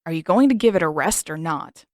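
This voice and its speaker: A mocking, bossy teenage boy, as a woman reader puts him on in a storybook reading. He talks bluntly and dismissively, set a touch lower and louder than the narration. The recording is dry and close. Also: lively intonation, brisk, dry recording. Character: teenage boy, sarcastic, bossy older brother.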